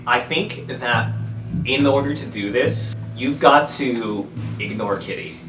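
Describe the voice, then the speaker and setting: A man's voice: mid-range voice, slow pace. A man improvising casual narration as game master of a tabletop roleplay podcast, hedging often as he goes. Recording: roomy, distant mic, music behind.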